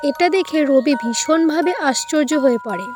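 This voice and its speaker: A woman's voice: high voice, animated, moderate pace, music in the background. A woman narrating a scripted cartoon story.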